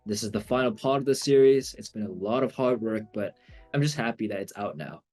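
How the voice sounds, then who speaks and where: A man's voice: fairly low pitch, fairly quick, a little mellow, faint music behind. A young man hosting a student podcast in an upbeat casual style, often saying "absolutely". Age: young adult. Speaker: podcast host.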